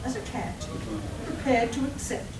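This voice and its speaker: A woman playing a brisk, matter-of-fact young adventurer and inventor in a live comedic radio play. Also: high voice, loud music behind the voice, lightly acted. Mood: reasoning. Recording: echoey hall, far mic, noisy.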